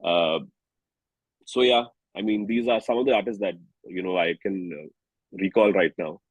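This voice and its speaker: A man with an Indian accent chatting casually as an interview guest, halting and candid as he searches for words. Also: low-pitched, slightly rough, no echo, poor audio. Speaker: singer-songwriter guest.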